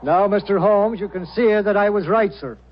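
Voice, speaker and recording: A man plays an Irish police sergeant with a very high voice, respectful yet insistent. It is old-fashioned radio drama acting, heard on a muffled 1940s broadcast. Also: moderate pace, close to an everyday voice. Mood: self-satisfied. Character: deferential but stubborn Irish police sergeant. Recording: dull 1940s radio recording.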